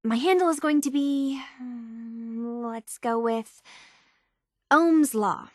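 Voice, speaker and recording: A dry, close recording of an earnest young woman in a video game. Her voice sits a little high and sounds natural and conversational, with a hesitant edge, like a thoughtful tinkerer working out who she is. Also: takes it slowly, dry recording. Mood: chatty, tinkering. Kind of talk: naturalistic game dialogue acting.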